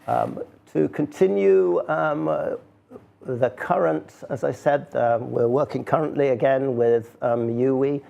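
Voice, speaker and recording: A man speaks informally to present his work. His mid-pitched voice is creaky, and his delivery is hesitant and conversational, with wry asides. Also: unhurried, lo-fi sound. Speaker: speaker, writer of sound art. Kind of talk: informal live talk.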